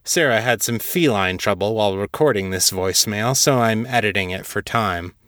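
A man's voice: on the low side, normal speed, a bit bright, dry recording. A man narrating and presenting as a podcast host in a scripted, read-aloud style.

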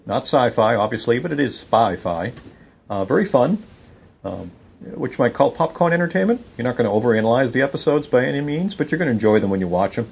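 A man's voice: mid-range voice, animated, fast, vocal fry. A man giving casual, opinionated review commentary as a podcast listener sending in feedback. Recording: dull lo-fi audio with faint noise.

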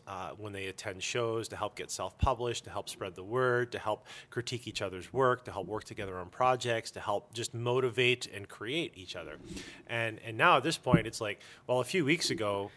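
Man, lowish pitch, forward bright tone, informal interview guest, animated storytelling, self-deprecating. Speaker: interview guest, comic store owner.

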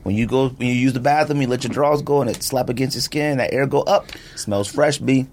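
Man, podcast host, medium pitch, casual banter, jokey, slangy, animated, repetitive. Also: fairly quick, a little hiss or hum. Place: podcast studio, indoors.

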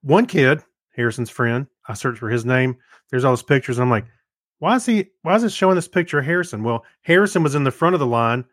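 A man's voice: medium pitch, expressive, fast talker, no echo, clean studio sound. A middle-aged man co-hosting a podcast, chatting casually and telling personal anecdotes. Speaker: podcast co-host.

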